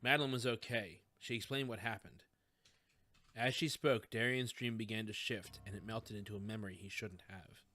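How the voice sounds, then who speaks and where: A man's voice: on the low side, fairly even intonation, moderate pace, pushed and bright. A man reading scripted fiction as an audiobook narrator.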